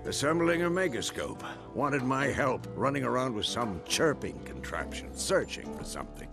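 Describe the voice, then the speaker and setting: Old warrior mentor, fantasy game: wry, weary, grumbling, very lively intonation over a music bed. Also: male voice, mid-range voice, gravelly. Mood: resigned. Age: older. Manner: measured, wry, grumbling, pausing for effect. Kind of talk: video game acting.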